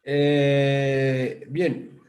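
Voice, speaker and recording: A man's voice: medium pitch, monotone, extremely slow, darkish tone. A man from Italy speaking Spanish with an Italian accent, a rabbi explaining as a panel guest. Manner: explanatory storytelling, self-correcting. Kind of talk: online panel discussion.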